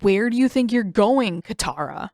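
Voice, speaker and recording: A woman's voice: fairly high pitch, animated, moderate pace, a little mellow, dry recording. A woman acts out a bossy teenage big brother in an audiobook story. He is suspicious and stubborn, pressing his accusations with indignant emphasis. The sound is close and dry. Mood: accusing.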